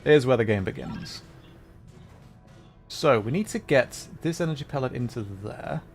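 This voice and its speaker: A man with a mid-pitched voice gives casual live commentary on a game. He addresses his viewers directly and rambles through his thoughts as he plays. Faint music sits behind his voice. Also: lively intonation, unhurried, vocal fry. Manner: rambling, thinking aloud while playing. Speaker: livestreamer.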